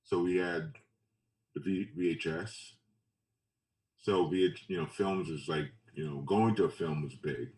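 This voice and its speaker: A man from Trinidad and Tobago talks casually as an interviewed photographer, in a halting, laid-back way that repeats phrases. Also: deep voice, darkish tone. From Trinidad and Tobago, later Brooklyn. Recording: roomy echo, distant mic.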